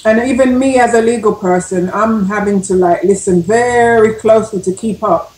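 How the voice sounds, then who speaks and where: A woman's voice: mid-range voice, normal speed, darkish tone. A woman with a legal background, talking casually as a panelist in a discussion. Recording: roomy, echoing sound.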